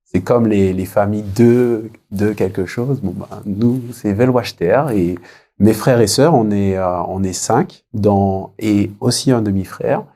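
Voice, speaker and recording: A man in his thirties from French Guiana, answering as an interview guest in a reflective, conversational way with frequent pauses. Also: fairly low pitch, dark tone. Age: 30s. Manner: hesitant, reflective, pausing often.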